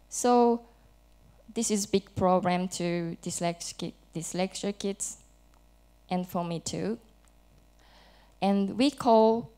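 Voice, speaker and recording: A woman from Japan with a Japanese accent, in her thirties, giving a conference presentation in halting, simple English with small restarts. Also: medium pitch, darkish tone, clean tone. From Japan, Hokkaido. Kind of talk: academic presentation with light jokes. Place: conference hall.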